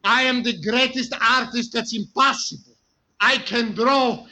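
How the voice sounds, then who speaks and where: A male actor playing a boastful, excitable Russian artist with theatrical broken English and a Russian accent in an old radio drama. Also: very high-pitched, a little slow, full character voice. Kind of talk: 1940s radio comedy-drama acting.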